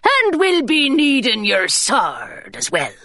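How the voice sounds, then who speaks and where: A woman voicing a grinning male pirate mate who talks gruffly and bluntly. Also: fairly high pitch, huge pitch swings, slow, pushed and bright, no echo, studio quality, exaggerated voice.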